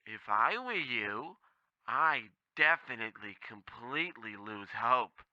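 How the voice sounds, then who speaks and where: A man's voice: medium pitch, big pitch swings, slow, sharp tone, dry recording, muffled recording, exaggerated voice. A man playing a blithely tactless temp agency clerk who rambles and bumbles in a comedy radio play. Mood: bluntly discouraging. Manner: rambling, bumbling, bluntly insensitive.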